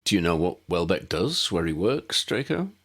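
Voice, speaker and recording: A man's voice: low voice, very animated, moderate pace, fairly bright tone, exaggerated voice. A man voicing a decisive, earnest male wizard hero with a direct, urgent manner in a storybook.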